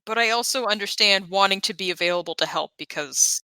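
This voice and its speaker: A woman's voice: medium pitch, a little fast, bright, forceful tone, clear, resonant tone, no room sound, rough recording. A woman talking casually as a player in a tabletop roleplaying game.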